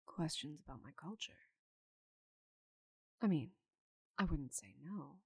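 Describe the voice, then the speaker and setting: Woman playing a low, slow, teasing female orc knight. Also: animated, husky, no room sound, full character voice. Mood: calm, amused. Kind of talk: ASMR roleplay, intimate slow delivery.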